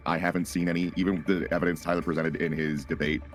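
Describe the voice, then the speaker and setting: A man's voice: low voice, flat delivery, quick pace, soft background music. A man answering questions in a casual, emphatic way. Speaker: former moderator answering questions.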